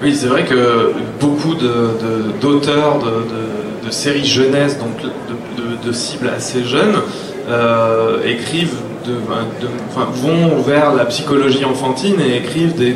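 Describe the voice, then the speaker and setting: A man speaking informally at a round table, hesitant and repeating words as he searches for the next one. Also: medium pitch. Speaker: animation producer on a panel. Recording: echoey, distant, noisy live room recording.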